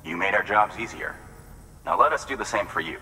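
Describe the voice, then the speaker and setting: Very deep, sincere male station worker. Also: lively intonation, brisk, bright, forceful tone. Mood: sincere, grateful. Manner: sincere, explanatory. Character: earnest, dutiful male weather station worker. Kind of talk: video game cutscene acting. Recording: long hall reverb.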